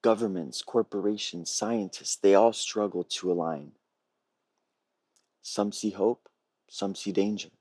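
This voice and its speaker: A man presents a prepared pitch in a low register with a husky, rough-edged tone. He speaks in measured, earnest phrases, like a storyteller working from notes. The sound is dry, with very little room to it, as on a video call. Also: fairly even intonation, a little mellow, no room sound. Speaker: filmmaker pitching a feature film.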